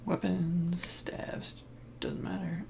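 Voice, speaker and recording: A man's voice: sits high, level tone, very slow, gravelly, background noise. A man hosting a game playthrough with casual, offhand, playful commentary. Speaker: gaming channel host. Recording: voice-over under loud game music. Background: loud game music.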